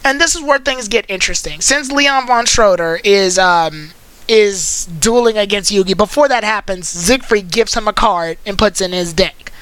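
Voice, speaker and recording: A bright, crisp-edged host voice in casual conversation at a middling pitch. The delivery is that of an animated, wry storyteller walking through a series and picking at it. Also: moderate pace, no echo. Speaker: host of an anime commentary stream. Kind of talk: casual chat recapping and critiquing a cartoon series.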